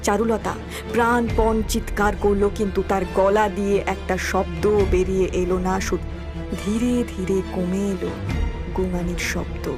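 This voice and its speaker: A woman's voice: medium pitch, little pitch movement, moderate pace, over music. A woman, reading scripted dramatic story narration.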